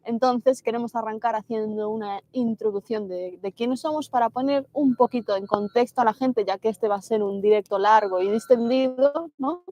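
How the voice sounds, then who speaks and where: A woman's voice: on the high side, moderate pace, hardly any pauses, warm tone. A woman from Galicia in her thirties, co-hosting a casual travel livestream and chatting about the trip. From Galicia, Spain. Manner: chatty, reads viewer greetings aloud.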